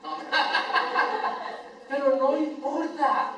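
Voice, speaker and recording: An older Colombian man preaching a sermon as pastor, swinging between loud emphatic lines and soft tender asides. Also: extremely high pitch, very animated, very slow. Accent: Colombian Spanish. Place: church sanctuary. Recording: echoey church hall, voice from far off the mic.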